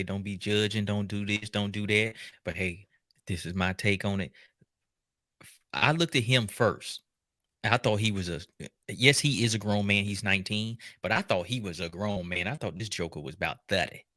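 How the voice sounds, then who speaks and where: A man hosting a show, giving casual, unscripted commentary in an animated, joking, colloquial way. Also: fairly low pitch, fairly quick, dry recording, studio quality. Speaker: host reacting to a documentary.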